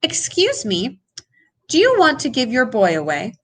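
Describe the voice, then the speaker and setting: Woman voicing an eager, high-pitched little puppy boy. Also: wildly swooping pitch, a little slow, fairly bright tone, husky, heavily acted. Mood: politely calling out. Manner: very polite, wishful. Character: eager, polite little male puppy searching hopefully.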